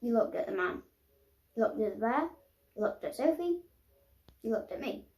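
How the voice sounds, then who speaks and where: A woman reading a children's picture book aloud expressively, pausing to explain the pictures. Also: fairly high pitch, very animated, warm tone. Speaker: storybook reader. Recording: roomy, echoing sound.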